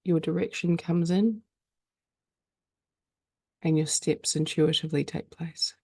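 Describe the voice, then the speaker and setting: A woman leading a guided meditation in a calm, soothing way, speaking slowly with frequent pauses. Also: sits low, husky, no room sound.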